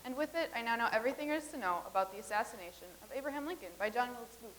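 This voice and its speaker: A woman's voice: fairly high pitch, animated, rapid-fire, fairly bright tone. Woman reading an earnest future rebel lady, formal and serious, a bit of hiss. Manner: earnest, formal and matter-of-fact. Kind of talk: staged reading.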